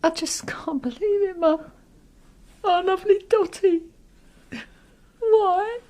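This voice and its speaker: An actress playing a meek, distraught young working-class wife in a radio drama, submissive and pleading. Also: female voice, extremely high pitch, takes it slowly, dark tone. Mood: grieving, subdued.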